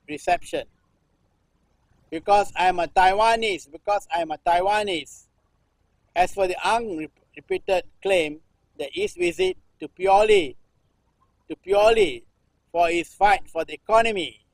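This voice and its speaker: A man in his sixties from Singapore, a performance artist reading news text aloud in halting runs, restarting phrases and repeating a refrain. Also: high-pitched, creaky, dry recording, low-quality recording. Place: temple-like cage at an art festival.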